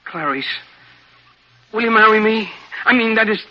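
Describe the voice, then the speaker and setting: An earnest, simple young working man in an old radio drama. His voice sits high for a man, and he stumbles hesitantly through plain, colloquial phrases, pleading like a smitten suitor. The recording is dull and dated. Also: expressive, moderate pace. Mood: nervous, eager. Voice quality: unpolished, colloquial speech. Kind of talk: 1950s radio drama acting. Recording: muffled vintage radio recording.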